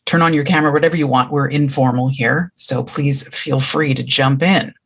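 A woman with a husky voice, moderating an online chat in an informal way. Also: very deep voice, fairly even intonation, moderate pace. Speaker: event moderator. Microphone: video call.

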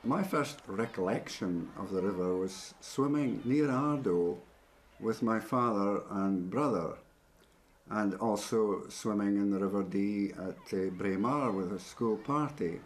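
An older male painter, interviewed in his studio, reminisces gently, with soft laughs and hesitations. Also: lowish voice, measured pace. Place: indoor studio.

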